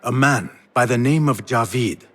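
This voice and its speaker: An actor playing a guarded, determined young former thief, speaking in a terse, serious way in a video game. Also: male voice, medium pitch, moderate pace, a bit bright, close to an everyday voice. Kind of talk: video game cutscene acting. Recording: music behind the voice.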